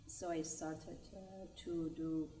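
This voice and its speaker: Woman from Iran, slow halting speech, mellow tone, echoey and far off. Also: low-pitched, level tone. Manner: halting, many pauses. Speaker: hospital volunteer.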